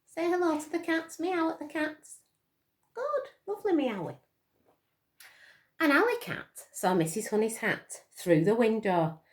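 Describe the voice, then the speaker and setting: Woman, children's storytime reader, expressive, slow, big pitch swings, addressing little listeners. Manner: expressive, dramatic gasps and questions to listeners. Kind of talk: animated picture-book storytelling to young children.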